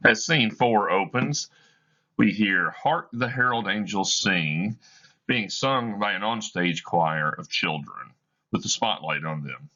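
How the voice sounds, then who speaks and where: Male teacher, reading a play aloud, slow, deliberate, bright gravelly tone. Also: fairly low pitch, lively intonation, lo-fi sound.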